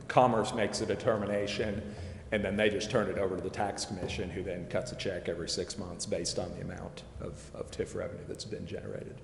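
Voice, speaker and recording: A man explaining finance details at a city committee meeting, measured and full of fillers and restarts. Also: deep voice, creaky. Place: council chambers. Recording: echoey chamber with long reverb, faint noise.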